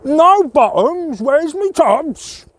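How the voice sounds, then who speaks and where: A man's voice: very high voice, very animated, very slow, no echo, voice pushed up, exaggerated voice. A man voicing a brutish, dim-witted, angry boggart from a storybook, blustering in broken grammar. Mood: outraged. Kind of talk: storybook character voice.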